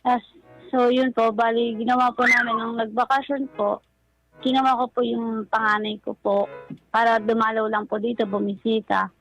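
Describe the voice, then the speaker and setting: A woman's voice: fairly high pitch, little pitch movement, normal speed, fairly bright tone, poor audio. A woman calling in to a radio advice show, telling her personal story in a polite, deferential way. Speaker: caller seeking relationship advice. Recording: music playing behind the voice. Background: background music.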